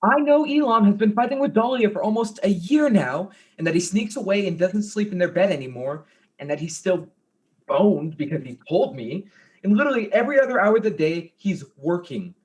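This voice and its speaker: A man's voice: sits high, lively intonation, a little fast, low-quality recording. A man acting an insecure, jealous and goofy fiancé in a darkly comic mystery play, earnest and flustered. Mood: accusing.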